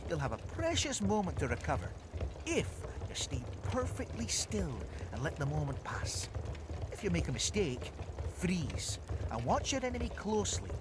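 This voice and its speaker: Give the game a boastful, merry woodland creature, a clever inventor coaching young scouts. The voice sits fairly high and swings dramatically through flowery, folksy, playful phrases. Clear ambient noise runs behind it. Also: male voice, wildly swooping pitch, normal speed. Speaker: tutorial mentor in a video game. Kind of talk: theatrical storybook game instruction.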